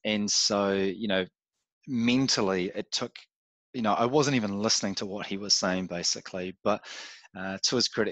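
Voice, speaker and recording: A man's voice: lowish voice, fairly bright tone, dry recording. A man from New Zealand with a New Zealand accent, talking as a podcast guest in a reflective, casual way with frequent fillers. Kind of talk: casual interview chat.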